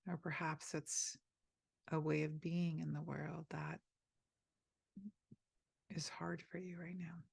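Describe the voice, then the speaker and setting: A woman guides a meditation in a slow, unhurried voice with a husky, gravelly texture. Her pitch sits on the lower side. The sound is dry and close, with no room around it. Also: flat delivery, dry recording. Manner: slow, soft and gently inviting, with pauses. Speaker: meditation teacher.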